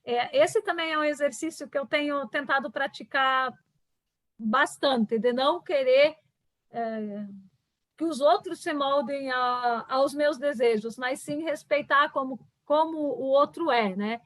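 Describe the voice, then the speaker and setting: A woman's voice: high-pitched, measured pace, a bit bright, no room sound. Hesitant woman. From southern Brazil. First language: German. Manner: hesitant, many restarts and fillers. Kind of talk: reflective conversation.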